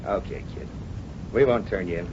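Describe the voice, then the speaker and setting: A man's voice: low voice, moderate pace, natural voice. A man acting a tough, menacing counterfeiting boss from vintage radio. He gives curt, coercive threats in gangster slang. The sound is dull and plainly noisy. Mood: commanding. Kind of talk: 1930s-40s radio drama acting.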